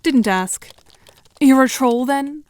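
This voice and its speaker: A woman's voice: high voice, takes it slowly, darkish tone, no echo. A woman playing a dry, self-assured, sardonic teenage girl with formal diction in an audio drama. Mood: curt, annoyed.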